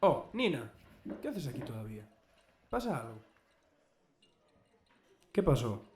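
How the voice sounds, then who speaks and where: A man's voice: medium pitch, very animated, measured pace, a little mellow, reverberant, slight background noise. A man playing a kindly, reassuring schoolteacher in an audio drama. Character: kindly, reassuring male secondary-school teacher.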